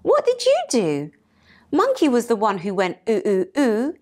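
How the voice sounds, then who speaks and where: A woman voicing a cheerful, excitable little mouse in a children's picture-book story, exclaiming and stretching her words. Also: fairly high pitch, moderate pace. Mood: indignant.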